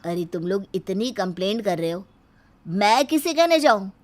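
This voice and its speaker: A harried middle-aged household father, acted by a woman, speaks at a medium female pitch. He grumbles but stays polite, as a character in a domestic moral tale. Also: big pitch swings, normal speed, background noise, natural voice. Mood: aggrieved.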